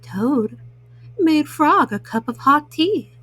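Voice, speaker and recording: A woman telling bedtime stories to small children in a gentle manner, as a storyteller. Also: high voice, lively intonation, takes it slowly, rough recording.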